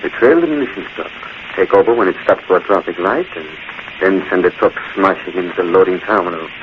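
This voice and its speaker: An actor playing a cold, methodical saboteur boss in a 1940s radio drama. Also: male voice, low-pitched, a little fast, lightly acted. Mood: coldly scheming. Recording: muffled, noisy 1940s radio recording.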